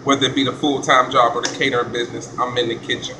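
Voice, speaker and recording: A man, a chef filming a casual vlog in a commercial kitchen, talking in relaxed slang. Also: mid-range voice, fast talker, noisy background. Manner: laid-back slangy hype talk. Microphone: handheld phone camera.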